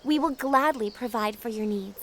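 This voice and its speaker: A woman playing a kind, shy young peasant goat girl with a gentle, polite manner in an audio drama. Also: fairly high pitch, moderate pace, a little hiss or hum, lightly acted. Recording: music under dialogue.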